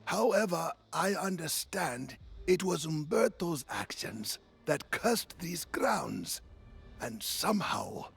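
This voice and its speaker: An actor playing a grave, wise mentor warden in a fantasy game, speaking solemnly with a creaky voice and dramatic swoops. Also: male voice, a little high, expressive, slow, fairly bright tone, faint music behind, full character voice. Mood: wry, weary.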